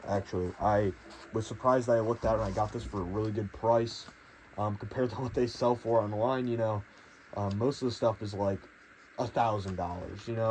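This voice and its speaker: A young man with a hoarse voice from a cold, casually reviewing a jacket in a rambling, hedging way with lots of fillers. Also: lowish voice, darkish tone, a little hiss or hum, poor audio. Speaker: gear reviewer.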